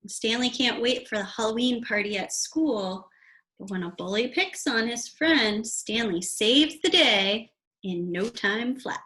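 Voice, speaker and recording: Slow-reading woman storyteller. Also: fairly high pitch, lively intonation, sharp tone. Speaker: read-aloud storyteller. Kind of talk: children's storybook read-aloud.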